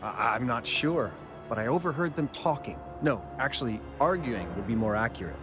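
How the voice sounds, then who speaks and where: A man's voice: medium pitch, animated, lightly acted. Guy doing a nervous chatty young miner, voice goes up and down a lot, music behind. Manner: talkative, jumpy, slows down when describing monsters. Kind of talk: game cutscene dialogue. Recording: game music underneath.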